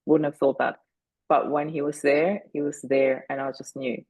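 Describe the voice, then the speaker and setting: Woman in her forties, German speaker, podcast guest; gravelly, even-toned delivery, earnest and measured; dry close-mic sound. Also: sits low, no room sound, rough recording. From Austria. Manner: earnest and deliberate, slowing down on key moments. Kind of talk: reflective storytelling.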